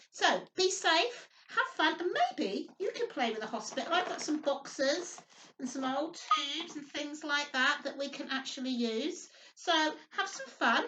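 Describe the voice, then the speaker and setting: A woman's voice: high voice, sharp tone, a little hiss or hum. A woman hosting storytime for young children, reading aloud and chatting in a sing-song, simple way with frequent tag questions. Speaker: children's storytime host, nursery worker. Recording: roomy echo, distant microphone.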